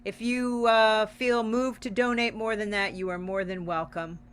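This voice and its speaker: A woman's voice: medium pitch, fairly even intonation, unhurried, fairly bright tone, smooth. A woman co-hosting a casual online theater talk-back in a welcoming, emphatic way. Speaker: theater company representative, co-host. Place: online video call.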